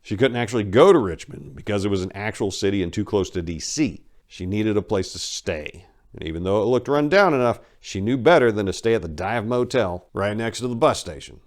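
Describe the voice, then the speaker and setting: Deep-voiced man narrating gritty crime fiction.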